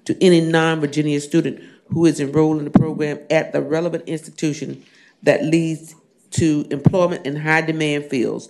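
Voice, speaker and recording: A hoarse man with a scratchy voice, a state senator formally presenting bills in a committee room, reading the text at length with many fillers. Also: a little high, little pitch movement, moderate pace, darkish tone. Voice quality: scratchy, gravelly. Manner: reads bill text at length with frequent fillers. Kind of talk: formal legislative bill presentation.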